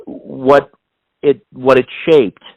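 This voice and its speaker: A middle-aged man from Iran, a non-native English speaker, giving measured, explanatory answers as an interview guest, often building his points in repeated phrases. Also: mid-range voice, level tone, gravelly, no echo, highs cut off. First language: not English. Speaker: interview guest, executive coach and author.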